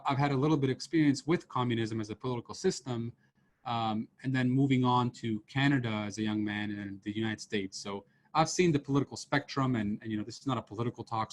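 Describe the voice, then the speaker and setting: A man in his thirties, originally from Serbia, talks students through his slides on a video call with his camera off. His voice sits at an ordinary middle pitch. He speaks loosely, with plenty of fillers and restarts, in an easy lecturing tone. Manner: casual, many fillers and restarts. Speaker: professor giving a seminar. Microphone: computer mic on a video call, camera off.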